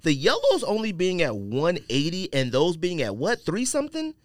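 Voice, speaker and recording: A man's voice: sits high, huge pitch swings, moderate pace, dry recording, clean studio sound. A man hosting a podcast, bantering casually in slang and teasing as he talks. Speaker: podcast host. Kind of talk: casual sports-draft banter.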